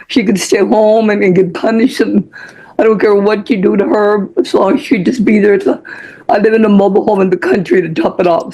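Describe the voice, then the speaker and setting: Older woman pleading, heavy vocal fry, husky. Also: medium pitch, mellow, poor audio. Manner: rambling, pleading. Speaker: witness, defendant's mother. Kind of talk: emotional courtroom plea. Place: courtroom.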